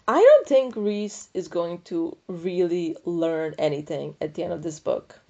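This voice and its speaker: A woman's voice: fairly low pitch, animated, measured pace, vocal fry, dark tone, muffled recording. A woman hosting a book podcast in casual, unscripted talk, thinking aloud in a rambling, opinionated, slangy way. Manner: informal, slangy, freely swearing. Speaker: book review podcast host.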